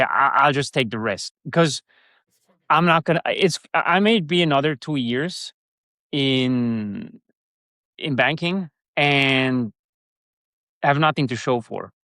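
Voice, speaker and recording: A man's voice: medium pitch, a little slow, pushed and bright, no echo. A man from Switzerland with a Swiss German accent, a guest in a casual interview, rambling with restarts and fillers.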